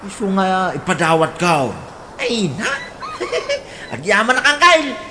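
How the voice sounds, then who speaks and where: A woman's voice: medium pitch, huge pitch swings, slow, noisy background. Woman doing a fussy old uncle, Ilocano. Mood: bantering. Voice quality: laughing, swooping delivery. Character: older uncle, proud and fussy about his motorbike. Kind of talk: radio drama acting.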